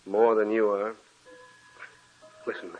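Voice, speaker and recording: An actor playing a sleepless, haunted husband, curt and agitated, in 1940s radio acting style. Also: male voice, lowish voice, flat delivery, takes it slowly, faint music behind. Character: haunted man, tense, paranoid, sleepless. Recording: tinny old radio recording.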